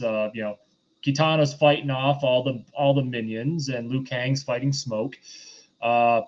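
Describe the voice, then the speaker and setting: Guy hosting a movie review chat, kinda halting n backtracking, mid voice, bit of a muffled lofi mic. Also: medium pitch. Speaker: host of a film review show. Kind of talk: casual chat, recapping and reviewing a film.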